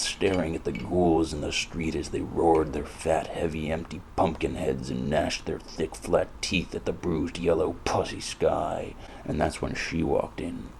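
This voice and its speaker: A cynical noir private eye, played by a man, speaks in a low, gravelly voice. He is deadpan and world-weary, narrating as in a detective radio play, with faint noise behind him. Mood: brooding, dramatic. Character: brooding, cynical hardboiled male private eye. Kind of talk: noir detective audio drama, narration and dialogue.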